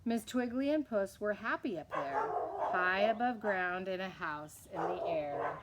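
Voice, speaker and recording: A woman reading a children's picture book aloud expressively, in measured, rhythmic verse. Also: mid-range voice, heavy background noise. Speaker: read-aloud storyteller.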